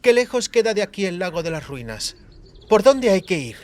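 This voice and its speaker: A man voicing a quiet, calm and brooding young woman traveler, speaking deliberately. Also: high-pitched, voice pushed up. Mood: inquiring. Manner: soft-spoken, deliberate.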